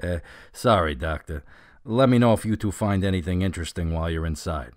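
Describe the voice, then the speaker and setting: A man's voice: low voice, fairly quick, lightly acted. A man playing an easygoing, plain-spoken and courteous police officer in a radio drama. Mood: friendly, helpful. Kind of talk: scripted radio drama acting.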